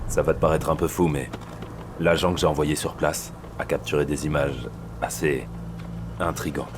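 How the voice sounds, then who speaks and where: An actor playing a paternal police boss in an audio drama thriller, speaking in a measured, confiding way. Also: male voice, rumbling low, fairly even intonation, noisy background. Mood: grave, confiding. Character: paternal male police boss briefing his agent. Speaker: police superior. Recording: background music under the voice.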